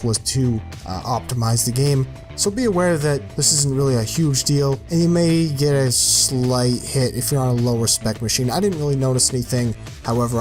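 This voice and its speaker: A man with a mid-pitched voice hosts a gaming video in a casual, informal style. His commentary rambles along loosely like an offhand walkthrough. Music plays clearly behind him. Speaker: video host presenting game mods.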